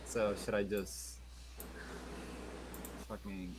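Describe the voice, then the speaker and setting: A man playing in a tabletop game session, blunt and profane, asking quick rule questions. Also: fairly low pitch, little pitch movement, background noise. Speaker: player. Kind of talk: casual tabletop game chat.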